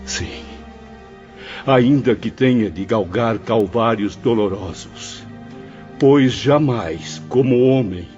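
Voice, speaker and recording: An actor playing an earnest, repentant professor's spirit in a solemn, oratorical audio drama style. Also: male voice, medium pitch, slow, darkish tone, dull audio, faint music behind, lightly acted. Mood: fervent, hopeful. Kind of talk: scripted audio drama acting.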